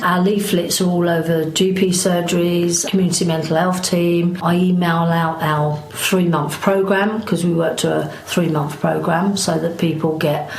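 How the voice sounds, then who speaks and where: A woman's voice: lowish voice, gravelly, a little mellow. A woman with an English accent, a charity worker answering interview questions informally. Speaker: mental health charity worker. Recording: echoey room with background noise.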